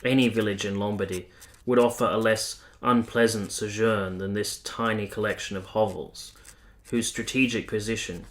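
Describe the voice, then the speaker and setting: A man playing a romantic, reflective army captain in a radio play, speaking in a measured, sincere, literary way. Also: lowish voice, a bit bright, lightly acted. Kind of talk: radio play acting, literary first-person narration.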